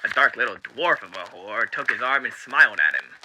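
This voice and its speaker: A man's voice: medium pitch, sing-song, brisk, pushed and bright, a little hiss or hum, poor audio. A man voicing a genteel, eerily cheery demon radio host with showy, mannered delivery, in the style of 1930s radio acting. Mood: storytelling.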